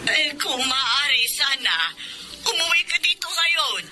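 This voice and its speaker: A woman's voice: high voice, lively intonation, takes it slowly, background noise. An actress playing a hesitant older aunt calling her niece in a radio drama.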